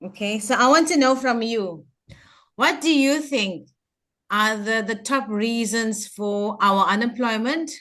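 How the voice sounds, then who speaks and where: A woman from Africa, from banking, asking a panelist a chatty, deliberate question and laughing easily. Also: on the high side, sharp tone. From South Africa.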